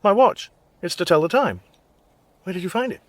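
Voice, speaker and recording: Exasperated, high-pitched English father. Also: expressive, normal speed, dry recording. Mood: testy. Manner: exasperated, dry, pedantic. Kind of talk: radio comedy drama acting.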